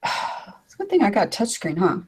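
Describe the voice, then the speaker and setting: An older woman with a raspy voice, chatting loosely as a co-host on an online video call, rambling with fillers and asides. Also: mid-range voice, wildly swooping pitch, normal speed. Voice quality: raspy from decades of smoking. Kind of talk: casual chat on a video call. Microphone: computer mic on a video call.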